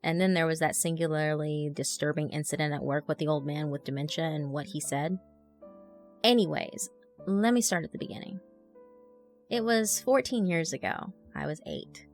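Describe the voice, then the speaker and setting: A woman narrating a horror story as a young female blogger in her twenties, conversational, wry and expressive, telling of being haunted. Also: low-pitched, moderate pace. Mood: chatty, uneasy. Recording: music under the voice. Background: background music.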